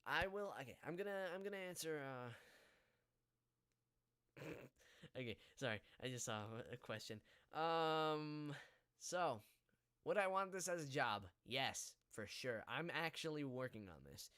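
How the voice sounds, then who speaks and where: A teenage male high school student hosting a casual podcast, talking in an earnest, halting, rambling way. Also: animated, fairly bright tone, clear, resonant tone, no room sound, studio quality. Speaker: podcast host. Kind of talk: casual live podcast chat.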